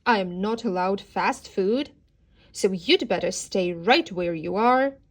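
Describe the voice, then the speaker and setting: A woman's voice: mid-range voice, animated, slow pace. Woman voicing a greedy, sly young storybook wolf. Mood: sly, cheeky. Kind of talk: children's storybook read-aloud character.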